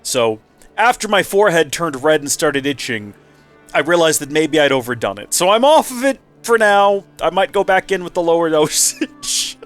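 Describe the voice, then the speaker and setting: A man's voice: a little high, big pitch swings, normal speed, fairly bright tone, faint music behind. A man hosting a let's play with casual, rambling commentary, his nose stuffy and a little nasal. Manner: chatty, rambling asides.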